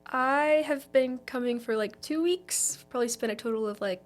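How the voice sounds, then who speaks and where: A woman's voice: a little high, normal speed, faint music behind. A young woman from Texas speaking casually as a student volunteer being interviewed. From Texas, United States. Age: young adult. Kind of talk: casual chat.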